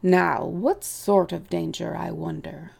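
An aged rabbit chief from a storybook, acted out by a woman, speaks with heavy creak and a soft, dark tone. He is courteous and unhurried, yet detached and quietly condescending. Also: fairly low pitch, fairly even intonation, heavily acted. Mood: blandly unconcerned. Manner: genteel, vague, patronizing, unhurried. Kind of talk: audiobook character voice.

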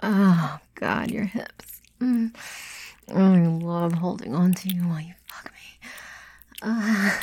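A woman whispering intimately in an erotic audio style, breathy and sultry, with slow murmurs and moans. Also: fairly low pitch, darkish tone.

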